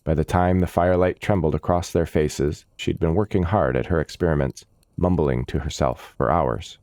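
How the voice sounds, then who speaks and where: Deep voiced guy reading a fantasy audiobook, measured, descriptive, really dry recording. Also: steady tone, a little mellow, no echo. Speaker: audiobook narrator. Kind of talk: scripted fantasy story narration.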